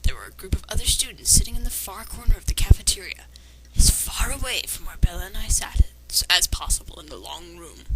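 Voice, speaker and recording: Woman reading fanfic out loud, kinda whispery, snarky and critical about it. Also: mid-range voice, lively intonation, fairly quick, few pauses, rough recording. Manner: sarcastic, critical asides. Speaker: fan fiction reader and commentator. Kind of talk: dramatic reading with mocking commentary.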